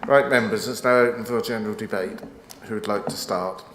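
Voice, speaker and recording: Man, committee chair, brisk, directive, low voice, echoey council chamber. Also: steady tone, a bit bright, a little hiss or hum. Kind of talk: chairing a council planning meeting.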